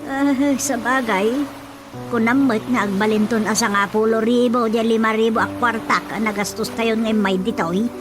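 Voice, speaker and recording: A woman playing a suspicious, nagging, excitable relative with an Ilocano accent in a radio comedy drama. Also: a little high, normal speed, lightly acted. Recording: music behind the voice.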